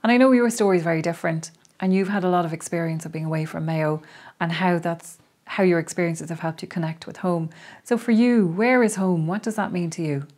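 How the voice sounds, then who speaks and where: A woman interviewing a musician, asking clear, direct questions. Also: on the low side, brisk, a little mellow.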